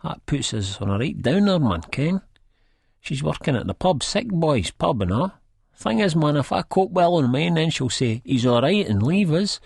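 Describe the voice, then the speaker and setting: Husky Edinburgh waster, nervous and rambling. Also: male voice, on the high side, big pitch swings, moderate pace, darkish tone, no room sound, studio quality, heavily acted. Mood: anxious, glum. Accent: Scottish, Edinburgh. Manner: rambling, colloquial, self-deprecating. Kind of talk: first-person audiobook narration in dialect.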